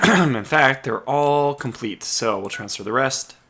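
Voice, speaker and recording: A man's voice: medium pitch, lively intonation, takes it slowly, pushed and bright. A man losing his voice, talking to himself in a casual let's play of a strategy game with keyboard typing behind him. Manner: muttering to himself while playing. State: losing his voice, clearing his throat. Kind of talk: casual gameplay commentary.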